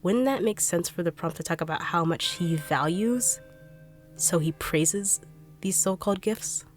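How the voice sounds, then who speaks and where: A low-voiced, blunt bodyguard with a sardonic, mocking edge, played by a young woman. She sounds brusque and impatient in an audio drama, with faint music underneath. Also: a little slow, natural voice. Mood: condescending. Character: blunt, sharp-tongued woman bodyguard turned betrayer.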